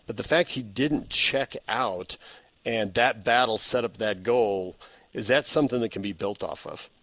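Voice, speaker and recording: A man's voice: fairly low pitch, unhurried, fairly bright tone, no echo. An American man working as a sports radio host, interviewing guests and reading promos. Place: radio studio.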